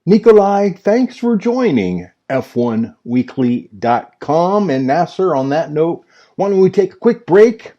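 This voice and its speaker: A male podcast host delivers his links like an announcer, with a slow pace and a very lively, fairly high voice. The sound is a little dull and lo-fi. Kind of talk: scripted show links.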